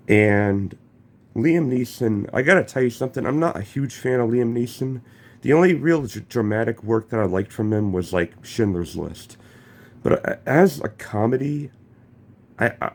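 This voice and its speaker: Young guy with a cold, stuffy throat, fairly low voice, cussing and restarting a lot. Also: a little mellow. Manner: swears freely, stammers and restarts. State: has a cold, congested throat. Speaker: gaming YouTuber. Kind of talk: casual vlog commentary.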